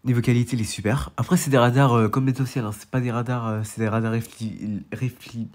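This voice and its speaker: A man's voice: mid-range voice, flat delivery, quick pace. A young man with a stuffy, congested nose from a cold, rambling casually through a weather radar while complaining about being sick. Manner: rambling, repetitive, full of restarts. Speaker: storm chaser and weather vlogger. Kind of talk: casual screen-share walkthrough.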